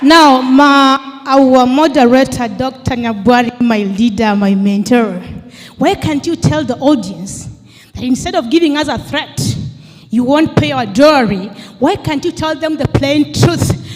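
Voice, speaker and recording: A woman's voice: high voice, a little slow. A woman geographer on a panel gives a rousing, teasing debate speech before an audience in a large hall. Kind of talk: impassioned public debate speech. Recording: long hall reverb with faint noise.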